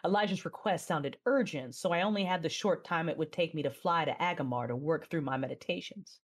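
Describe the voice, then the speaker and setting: A low-pitched female voice carries this sci-fi audio drama. She is a resolute, battle-hardened warrior recounting her own story. The delivery is measured and dramatic, like a memoir told aloud. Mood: grave, determined. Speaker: narrator and lead character. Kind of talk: first-person dramatic narration in an audio drama.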